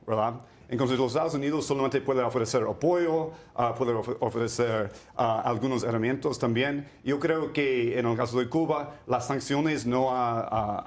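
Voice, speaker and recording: Measured man searching for his words. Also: mid-range voice. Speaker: policy consultant, panel guest. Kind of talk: studio news debate.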